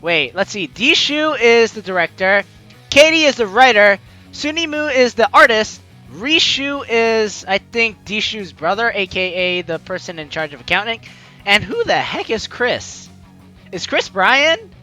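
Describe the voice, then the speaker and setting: Bright, animated male let's player. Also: high-pitched, a little slow, muffled recording. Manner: teasing, sarcastic asides. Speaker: let's play host. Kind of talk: casual game commentary, reading dialogue aloud. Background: soft game music.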